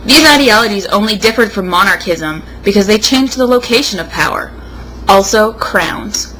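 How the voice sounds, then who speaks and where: A woman's voice: medium pitch, expressive, moderate pace, bright, forceful tone, a little hiss or hum, poor audio. A young American woman reading aloud in a mock-dramatic, sarcastic way with casual asides. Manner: sarcastic, emphatic delivery. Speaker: co-host.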